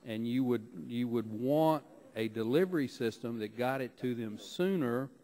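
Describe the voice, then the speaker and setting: A man's voice: mid-range voice, moderate pace, dark tone. A man speaking as a congressman in a committee hearing debate, questioning a colleague in a teasing way, at a desk microphone in a hearing room.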